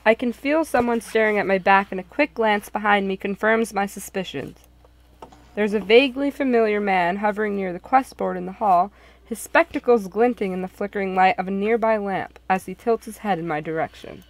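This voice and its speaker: A woman narrates a visual novel in expressive storytelling style. Her voice sits at a medium pitch. The recording is close and dry, with no room sound. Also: a little fast, no room sound.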